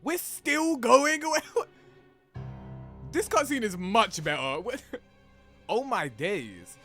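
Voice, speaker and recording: A man giving casual, expressive reaction commentary in informal slang as a video host. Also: high-pitched, extremely slow, soft background music.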